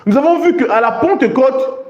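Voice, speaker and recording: A man's voice: very high voice, animated, normal speed, darkish tone, lo-fi sound. A man preaching a sermon, reading and explaining scripture emphatically and repeating phrases for stress. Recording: echoey room sound.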